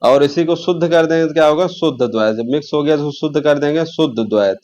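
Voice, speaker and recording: A man's voice: on the high side, fast talker, darkish tone. A man teaching an informal exam lesson, repeating key terms and posing questions as he explains.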